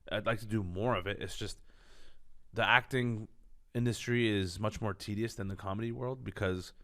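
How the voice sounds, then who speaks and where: Canadian comedian guy in a studio interview, open and sure of himself, lowish voice. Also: steady tone, moderate pace, bright, forceful tone. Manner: candid, self-assured about ambitions. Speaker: comedian guest. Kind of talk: casual interview talk.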